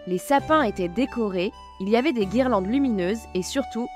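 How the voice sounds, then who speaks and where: A woman narrating a children's Christmas story in a gentle storytelling manner. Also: fairly high pitch, a little fast. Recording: music bed behind narration.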